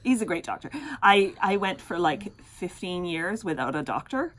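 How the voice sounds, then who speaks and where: A woman's voice: fairly low pitch, lively intonation, normal speed, crowd noise. A middle-aged woman whose first language is French, co-hosting a casual podcast chat with frequent laughter and playful teasing. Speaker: podcast co-host.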